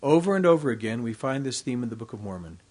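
Man reading a prepared devotional talk, measured and formal, average pitch. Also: big pitch swings, a little mellow. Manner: measured, reads from a text. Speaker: university devotional speaker.

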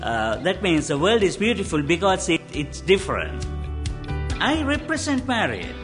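A man's voice: sits high, huge pitch swings, moderate pace. A man from Sri Lanka with a Sri Lankan accent, a hotel employee speaking cheerfully in a testimonial. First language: Sinhala. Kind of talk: interview testimonial. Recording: music bed underneath.

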